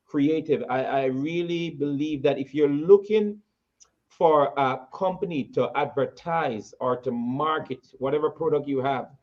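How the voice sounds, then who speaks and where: Jamaican man, talk show host, stumbling repeats, fairly high voice, dull lo-fi sound. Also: a little slow, a little mellow. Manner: stumbling, repeats words, laughs easily.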